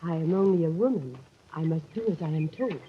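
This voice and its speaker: An actress playing a demure, mysterious young woman with a formal, measured manner in 1940s radio style. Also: low-pitched, slightly rough. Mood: wistful, submissive. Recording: muffled, noisy old radio recording.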